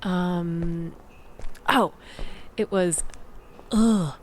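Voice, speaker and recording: A woman's voice: on the low side, drawn-out words, a little hiss or hum. A woman playing a chatty, exasperated young woman in a casual audio roleplay, rambling and self-deprecating as she tells her story. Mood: incredulous, animated. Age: young adult.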